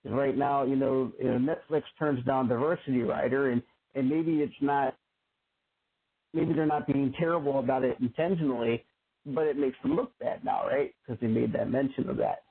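A man's voice: mid-range voice, steady tone, moderate pace, vocal fry, breathy edge. A man hosting a casual discussion, talking conversationally with restarts and frequent "right?". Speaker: host. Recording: narrow phone-like audio.

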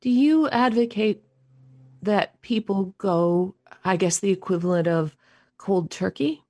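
A woman hosting an interview, asking conversational questions in deliberate, measured phrasing. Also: mid-range voice, warm tone, no room sound. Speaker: interviewer, host.

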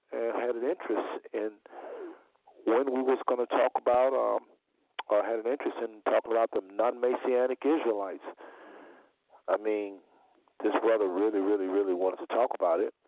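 A man hosting a religious call-in show in a deliberate, repetitive way, his voice slightly under the weather. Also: fairly low pitch, no room sound. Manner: deliberate, repeats phrases for emphasis. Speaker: talk show host. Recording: phone-like internet radio audio.

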